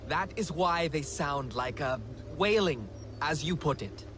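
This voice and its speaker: An actor playing a wry, eccentric old alien hermit in a video game, speaking in poetic, whimsical phrases. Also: male voice, fairly high pitch, expressive, measured pace, background noise. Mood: solemn, reminiscing. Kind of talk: video game cutscene acting.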